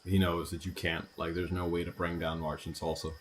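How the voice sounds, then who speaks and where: Low-voiced, rambling male game commentator. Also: little pitch movement, a little fast. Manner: rambling, anecdotal. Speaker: Let's Play co-commentator. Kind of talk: casual commentary over game cutscenes. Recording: faint noise, game audio behind.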